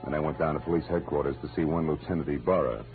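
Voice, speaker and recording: Deep-voiced hard-boiled American private eye. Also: male voice, very fast. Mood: wry narration. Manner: terse, dry, wry. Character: hard-boiled male private detective, wry and dogged. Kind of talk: 1940s radio drama acting with first-person narration. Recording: muffled old-time radio recording with music.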